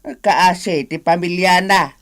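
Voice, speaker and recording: A man's voice: sits high, steady tone, slow, a bit bright. An actor playing a weary, complaining elderly father in a radio drama, grumbling as he talks.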